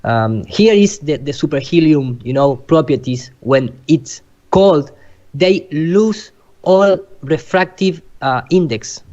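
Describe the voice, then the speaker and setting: Slow, halting man. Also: fairly high pitch, lively intonation, a little mellow. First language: Spanish. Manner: halting, searching for words in non-native English. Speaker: presenter. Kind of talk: informal explanatory presentation. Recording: dull, lo-fi online call audio.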